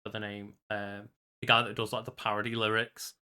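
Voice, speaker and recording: A man's voice: on the low side, little pitch movement, fairly quick, sharp tone, clear, resonant tone. A man chatting casually and conversationally as a podcast co-host. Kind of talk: casual chat.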